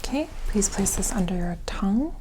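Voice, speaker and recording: A woman speaking softly and breathily, often near a whisper, gently reassuring in an ASMR medical roleplay. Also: medium pitch, expressive, fairly quick, noisy background. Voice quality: soft, breathy, partly whispered. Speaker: ASMR creator playing a medical examiner.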